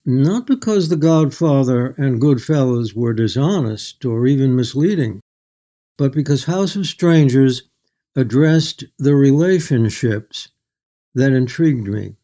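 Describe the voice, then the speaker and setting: An older man talks as an author being interviewed about his writing. His voice is soft-edged and mellow, sitting at an average pitch. He is measured and articulate, choosing his words with thought. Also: expressive. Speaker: guest author. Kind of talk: reflective literary interview.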